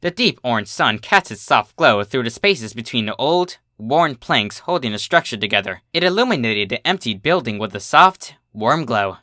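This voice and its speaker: A man's voice: medium pitch, animated, moderate pace, few pauses, pushed and bright, no room sound, clean studio sound. A man reading scripted story narration as a fiction narrator.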